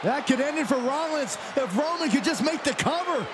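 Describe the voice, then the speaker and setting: High male sports commentator in noisy arena. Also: expressive, normal speed. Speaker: wrestling commentator. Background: roaring crowd, slams.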